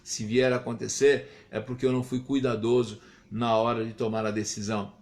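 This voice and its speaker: A man's voice: mid-range voice, level tone, brisk, darkish tone. A man in his fifties, a pastor giving a morning devotional sermon in an earnest, reflective way, lifting his voice on exhortations. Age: 50s.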